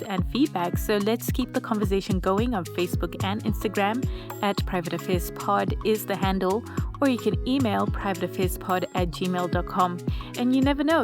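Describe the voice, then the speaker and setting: Woman reading podcast credits over music. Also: medium pitch. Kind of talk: scripted outro narration. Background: music under the voice.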